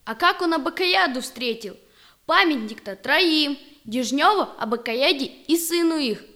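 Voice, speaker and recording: Bright, high-voiced curious listener. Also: female voice, expressive, a little slow, exaggerated voice. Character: curious, sympathetic woman listener chatting with storyteller. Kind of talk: conversational audio drama acting.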